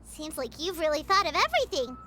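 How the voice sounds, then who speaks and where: A tiny companion sprite in a game, cheeky and excitable. Her voice is extremely high and swoops all over the place. Loud game music plays under her lines. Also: fast. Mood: eager. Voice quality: high, bouncy, childlike. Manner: excitable and chatty. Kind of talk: video game voice acting.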